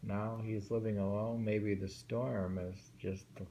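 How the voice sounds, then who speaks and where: A man reading short poems aloud twice each and musing over their meaning in a slow, thoughtful way. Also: fairly low pitch, little pitch movement, mellow, slight background noise. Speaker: poetry reader.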